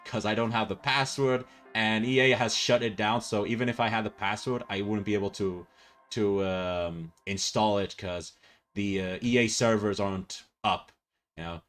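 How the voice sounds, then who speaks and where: A young man, chatting loosely with his live-stream viewers. He rambles, restarts his sentences and fills gaps with "uh" and "um". Faint music plays softly behind him. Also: lowish voice, fairly even intonation, moderate pace. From Portugal. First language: Portuguese. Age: 20s. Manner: rambling and self-correcting, with frequent fillers and laughs. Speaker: livestreamer. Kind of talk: casual live-stream chat reading viewer comments.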